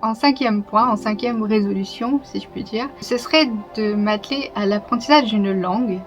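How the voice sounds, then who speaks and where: A young woman talking to her channel in a casual, reflective way, open and a little hesitant, with soft laughs. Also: medium pitch, moderate pace. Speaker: YouTuber. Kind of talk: casual personal vlog talk. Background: music.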